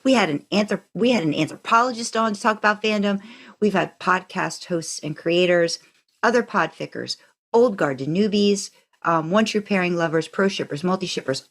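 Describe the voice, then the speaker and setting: A woman co-hosting a podcast in a chatty, informal, animated way. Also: on the low side, a little fast. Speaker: podcast co-host. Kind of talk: casual podcast chat.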